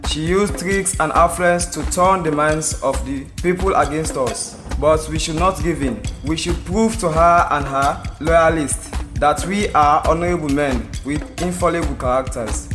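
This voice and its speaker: A man's voice: on the high side, natural voice. A man with a Sierra Leonean accent playing a scheming village noble, speaking formally and persuasively in an audio drama. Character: scheming male village noble leading rival candidates.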